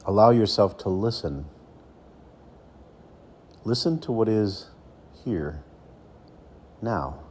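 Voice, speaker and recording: Male meditation coach, low and soft-toned, unhurried soothing phrasing with deliberate gaps, faint noise behind. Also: expressive. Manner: slow, soothing, with deliberate pauses between phrases. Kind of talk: guided meditation.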